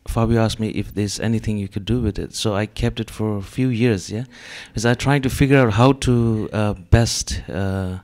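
A man's voice: fairly low pitch, moderate pace, few pauses, darkish tone. A man in his thirties, an artist speaking on a panel in a gallery, talking hesitantly with many fillers into a handheld mic. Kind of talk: panel discussion. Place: gallery room with audience.

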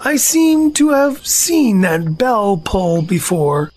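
A man voicing a gentle, simple-minded young male bear who muddles long words, in an amateur family audio drama. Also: high-pitched, very animated, slow, slight background noise, exaggerated voice. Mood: musing.

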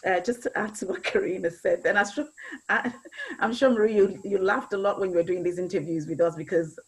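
A woman's voice: medium pitch, fairly even intonation, fairly quick, slightly rough, poor audio. A woman speaking on a video call panel through earphones from a small room. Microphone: earphone headset.

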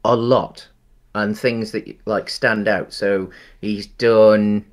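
A man's voice: on the low side, steady tone, slow pace. A man in his thirties co-hosting a podcast on a video call, chatting about a film with lots of ums and restarts. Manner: hesitant, many ums and restarts. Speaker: podcast co-host. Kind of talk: casual film discussion. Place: small room at home. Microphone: headset on a video call.

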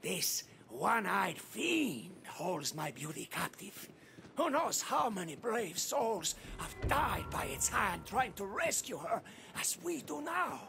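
A high, gravelly, bombastic knight. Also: male voice, very animated, slow, music in the background, exaggerated voice. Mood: grave, dramatic. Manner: bombastic, theatrical, florid. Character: deluded, lovestruck, grandiose knight on a heroic quest.

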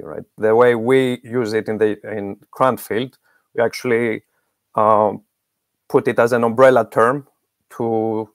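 A man's voice: mid-range voice, dry recording, clean studio sound. A middle-aged man giving explanatory interview answers as a guest expert on a video call. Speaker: guest expert, academic researcher.

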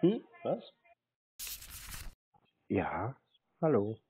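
A man's voice: lowish voice, huge pitch swings, extremely slow, slightly rough. A man, a native German speaker, chatting casually on a call with playful, feigned naivety. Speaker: scambaiter taking calls. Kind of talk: casual phone chat. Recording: muffled narrow phone-like sound.

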